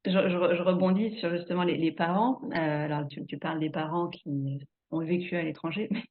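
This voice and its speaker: A woman's voice: fairly low pitch, steady tone, fairly quick. A woman hosting a casual interview, stammering and restarting as she talks. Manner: stammering restarts, laughs easily. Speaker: host, channel founder. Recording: phone-like video call audio.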